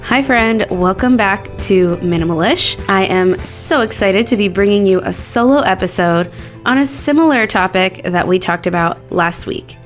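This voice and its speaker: A woman's voice: mid-range voice, normal speed, faint music behind. A woman in her thirties hosting a solo podcast, talking in an earnest, candid and upbeat way, her voice a bit scratchy from a cold. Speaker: podcast host.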